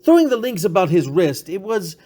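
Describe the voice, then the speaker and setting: A man's voice: sits high, big pitch swings, a little fast, warm tone. A man narrating a classic short story in a dramatic literary style. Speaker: story reader.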